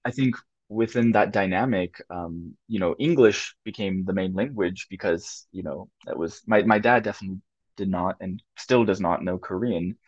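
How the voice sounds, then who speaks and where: A man's voice: deep voice, normal speed, breathy edge, no echo. A young American man talking things over casually, with lots of restarts and fillers. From United States. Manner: thoughtful, many restarts and fillers, laughs often. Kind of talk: casual conversation. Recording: dull muffled sound, dry.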